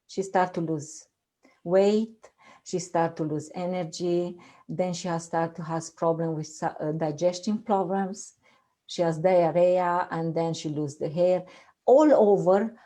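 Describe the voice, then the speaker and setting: A woman in her fifties from Romania, speaking English with a Romanian accent, giving an earnest personal testimony on a video call with halting, repetitive phrasing. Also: lowish voice, warm tone. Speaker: guest.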